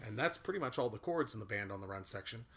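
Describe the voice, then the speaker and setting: A man's voice: fairly low pitch, expressive, fast. A man giving a casual guitar and singing lesson with a big voice, explaining at an easy pace with frequent "uh" fillers. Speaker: guitar and voice teacher. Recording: guitar playing loudly behind the voice.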